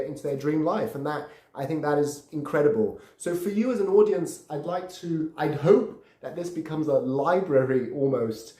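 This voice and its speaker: Earnest Brazilian-born man, mellow voice. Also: on the high side, roomy sound. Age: 30s. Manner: earnest, repeats words while searching for phrasing. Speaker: podcast host. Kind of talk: casual storytelling monologue.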